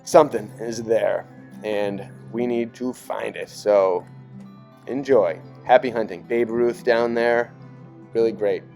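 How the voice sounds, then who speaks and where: A man with a fairly low voice narrates in a casual, rambling style. He muses and speculates with a conspiratorial bent, as if thinking aloud. Soft music plays faintly behind him. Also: steady tone, slow pace. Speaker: video narrator. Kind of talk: casual rambling commentary over archive images. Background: background music.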